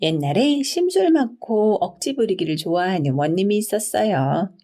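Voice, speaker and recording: Female storybook narrator, soft-toned with lively melody, reading folktales to young children at bedtime. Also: mid-range voice, moderate pace. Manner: storyteller's expressive, polite explaining delivery.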